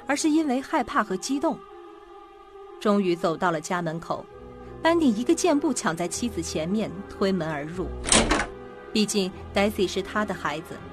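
A woman narrator reading scripted narration for a suspense audio drama. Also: mid-range voice. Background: background music.

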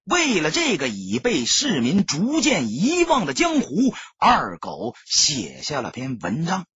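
A man narrating an audiobook in a dramatic storytelling style, with a husky, raspy voice. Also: on the high side, huge pitch swings, slow, a bit bright, dry recording, muffled recording.

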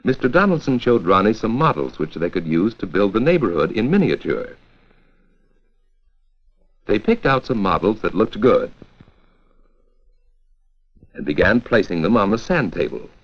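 An American man narrating a 1940s educational film from a script, with a clear, measured storytelling delivery. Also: on the low side, very animated. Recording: dull, lo-fi 1940s 16mm film soundtrack.

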